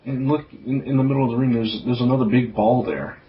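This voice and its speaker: A man's voice: medium pitch, steady tone, fast talker, close to an everyday voice. A man playing a bold, exasperated captive adventurer in a parody radio drama. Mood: puzzled, exasperated. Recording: slightly noisy, dull lo-fi recording.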